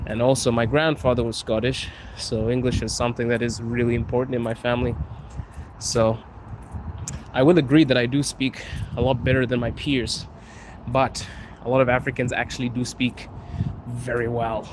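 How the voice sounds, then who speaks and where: A man's voice: mid-range voice, little pitch movement, normal speed. A man from Zimbabwe hosting a casual live stream, chatting with viewers and answering their questions while riding the metro. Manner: chatty, answers chat questions, laughs often. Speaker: livestream host. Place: Moscow metro train and platform. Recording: loud train and city noise.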